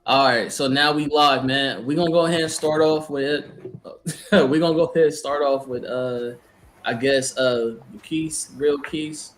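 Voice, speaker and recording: A man hosts a casual group video chat with loose, playful, slangy talk. His pitch is mid-range for a man. The call carries clear background noise. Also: steady tone, measured pace. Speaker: host of an online debate tournament. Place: multi-person video call.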